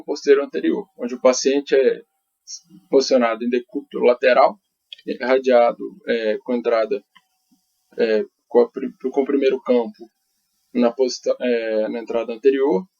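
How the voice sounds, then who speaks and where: A man with a Brazilian accent giving an academic conference presentation as a researcher, speaking haltingly and often adding little tag questions. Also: medium pitch, creaky, warm tone. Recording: dull, lo-fi audio.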